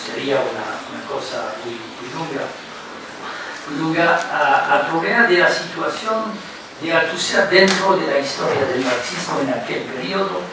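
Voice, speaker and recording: Halting older man lecturing in an echoey hall. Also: a little high, lively intonation, noisy background. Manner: halting, many fillers and repeated words. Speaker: guest lecturer. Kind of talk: academic lecture. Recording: echoey hall, recorded from far off.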